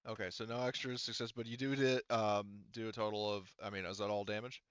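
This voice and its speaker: Clear-voiced man running a game, slightly muffled. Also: fairly low pitch, level tone, fairly quick, fairly bright tone, no echo. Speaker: game master. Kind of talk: running a tabletop RPG session, casual.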